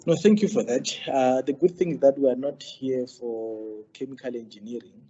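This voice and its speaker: A man's voice: medium pitch, moderate pace, warm tone, slightly rough, muffled recording. A man hosting an interview, polite and formal, with frequent hesitations. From South Africa. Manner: polite, formal, many fillers. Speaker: interview host.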